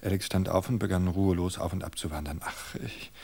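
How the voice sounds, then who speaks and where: Male audiobook narrator, low voice, measured suspense delivery. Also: fairly even intonation, gravelly, dark tone, slightly rough. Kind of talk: scripted narration of a novel.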